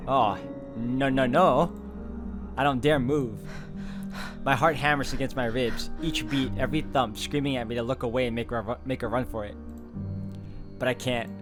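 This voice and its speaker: A man's voice: mid-range voice, steady tone, normal speed, pauses often. Man reading game narration aloud over music. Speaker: let's player. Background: background game music.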